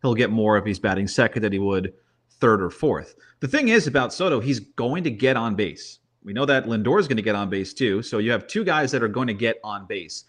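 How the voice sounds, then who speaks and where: A man's voice: lowish voice, very animated, a little fast. A man giving off-the-cuff sports analysis in casual talk, conversational, often repeating words as he thinks aloud. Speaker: baseball commentator, guest analyst.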